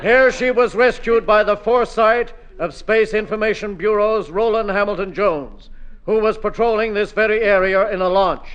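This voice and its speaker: An actor playing a grandiose, declamatory American news correspondent reporting dramatically in a radio comedy. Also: male voice, sits high, moderate pace, a bit bright, slight background noise. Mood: grandiose excitement. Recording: dull, band-limited radio sound, music.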